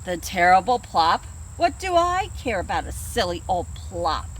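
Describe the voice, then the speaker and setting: A woman voicing a big, grumpy male brown bear who growls in a gruff, scornful way, outdoors in an orchard. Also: fairly low pitch, big pitch swings, measured pace, fairly bright tone, slight background noise, pitched below the performer's own voice, low-quality recording.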